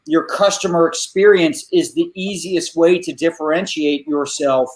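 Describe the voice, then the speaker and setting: A man's voice: fairly high pitch, steady tone, normal speed. A man co-hosting a panel show, speaking in a loose, rambling way with many restarts. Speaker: show co-host. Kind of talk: casual panel chat.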